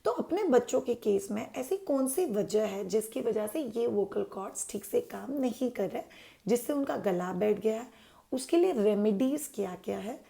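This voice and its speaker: A woman hosts a parenting channel and talks parents through baby care in a chatty explainer style. Her voice sits fairly high but has a gravelly, fry-tinged edge and a mellow, dark tone. She keeps posing her viewers' questions aloud and answering them. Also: lively intonation, moderate pace. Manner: chatty, mixes English words into Hindi, answers her own questions.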